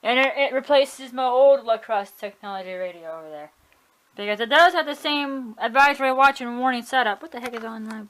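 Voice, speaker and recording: A woman's voice: on the high side, brisk, fairly bright tone, low-quality recording. A woman casually showing off her collection at home, chatty and offhand, often correcting herself and shrugging things off. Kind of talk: casual home video tour. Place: room at home.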